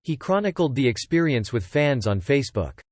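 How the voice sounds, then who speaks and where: A man's voice: mid-range voice, big pitch swings, fairly quick, no room sound. A man reading a scripted celebrity news story as a voice-over narrator. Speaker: narrator of entertainment video.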